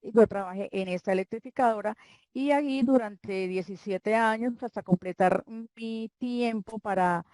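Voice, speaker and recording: An older woman from Colombia with a Santander accent, a guest author chatting in an interview, talking warmly and rambling with frequent restarts. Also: mid-range voice, normal speed, no echo. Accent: Colombian Spanish, Santander. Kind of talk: casual interview chat.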